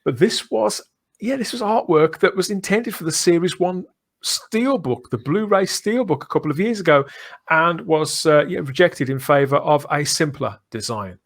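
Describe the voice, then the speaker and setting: A man's voice: on the high side, expressive, moderate pace. A middle-aged man hosting a podcast in a casual, enthusiastic fan discussion, speaking effusively and emphatically in long, discursive turns. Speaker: podcast host.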